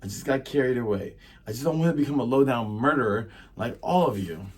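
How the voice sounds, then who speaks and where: A man's voice: medium pitch, lively intonation, brisk, husky. A man voicing a spirited, defiant young woman thief who exclaims dramatically and indignantly in an anime retelling.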